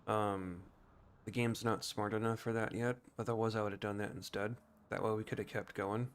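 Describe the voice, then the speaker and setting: A man's voice: fairly low pitch, fairly even intonation, normal speed, slight background noise. A man giving casual gameplay commentary as a streamer, thinking aloud and explaining things informally. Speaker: game streamer.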